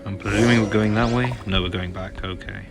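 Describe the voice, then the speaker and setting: Fairly low-voiced male gamer. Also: fairly even intonation, brisk, vocal fry, loud music behind the voice. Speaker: gameplay streamer. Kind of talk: casual playthrough reaction.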